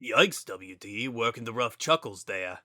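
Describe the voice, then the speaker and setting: A bright, clear-cut voice for a wisecracking skeleton acted by a man. He talks in casual slang, teasing but fond, like an easygoing joker in a dramatized fantasy story. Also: mid-range voice, expressive, unhurried, no echo, studio quality. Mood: teasing, reassuring. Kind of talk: dramatic reading of fan fiction.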